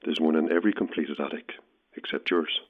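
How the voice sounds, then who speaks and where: Creepy guy on the phone, deep n husky, flatly menacing, tinny line. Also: fairly quick. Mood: cold, insistent. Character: eerie, menacing male caller, unnervingly matter-of-fact. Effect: telephone filter. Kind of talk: horror audio drama acting.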